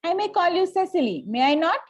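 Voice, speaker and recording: A woman's voice: very high-pitched, very animated, a little fast. A woman reading the part of a haughty, gushing young Victorian society lady in an amateur play reading, formal and self-assured. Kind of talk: amateur play table read.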